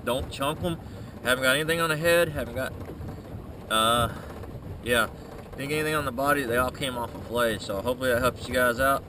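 A man's voice: medium pitch, brisk, recorded from a distance. A man in his thirties hosting a casual fishing vlog from an open boat, explaining tips to viewers. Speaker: fishing channel host. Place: open boat on a lake, outdoors. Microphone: action camera on the boat. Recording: outdoor camera audio with wind and water noise. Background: boat and water noise.

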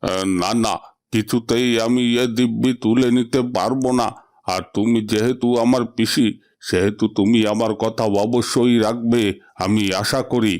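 A man's voice: mid-range voice, fairly even intonation, normal speed, darkish tone, lightly acted. Man acting a dramatic, possessive fish king. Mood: desperate, stubborn. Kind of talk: melodramatic cartoon fairy-tale acting.

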